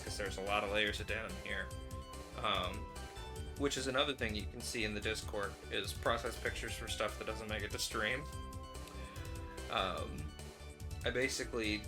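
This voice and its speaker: A man hosting a craft livestream, chatting casually and wryly, with playful acted-out asides. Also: fairly low pitch. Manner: playful, wry, acts out asides. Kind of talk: casual chat while demonstrating hand stitching. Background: music.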